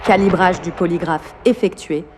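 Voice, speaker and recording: A woman's voice: lowish voice, normal speed, a little mellow, soft background music, close to an everyday voice. A synthetic woman's voice playing a cold female intelligence-agency AI interrogator, formal and commanding, with a slight British accent. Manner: formal, clipped, commanding announcements.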